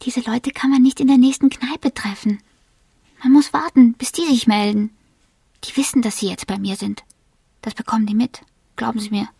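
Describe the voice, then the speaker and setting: An actress playing a shaken, cautious woman in a radio crime drama, with a breathy, hushed, guarded delivery. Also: fairly high pitch, fairly even intonation, moderate pace, no room sound, studio quality, far from the mic. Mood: anxious, guarded.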